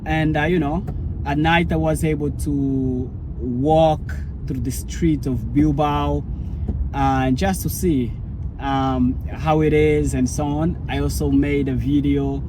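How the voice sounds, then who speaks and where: A man's voice: a little high, fairly even intonation, slow, noisy background. A man whose first language is Portuguese, a startup founder telling his story in a rambling, casual vlog. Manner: rambling, searching for words.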